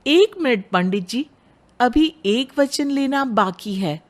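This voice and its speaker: A woman's voice: fairly high pitch, lively intonation, slow pace, pitched above the performer's own voice. A woman voicing an educated young bride in a moral story, outspoken and determined yet respectful. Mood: firm, polite. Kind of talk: dramatized Hindi moral story.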